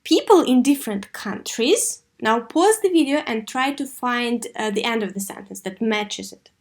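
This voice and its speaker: A woman teaching an English lesson to young learners, asking questions and answering them herself, with key words pronounced slowly and deliberately. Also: on the high side, animated. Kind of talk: scripted online lesson addressed to young learners.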